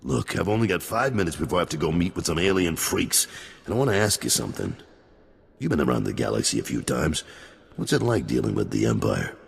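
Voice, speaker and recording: A gravelly deep-voiced gangster boss. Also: male voice, sing-song, a little fast, big-room sound, heavily acted. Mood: blunt. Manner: blunt, gruff. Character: gruff gangster boss, blunt and worldly.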